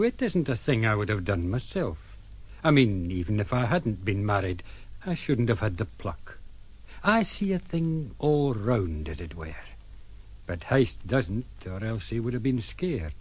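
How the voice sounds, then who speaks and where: A man's voice: on the low side, big pitch swings, measured pace, breathy edge, exaggerated voice. A man playing a genial, fussy sea captain in an audio drama, polite, hesitant and given to musing aloud. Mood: puzzled, musing.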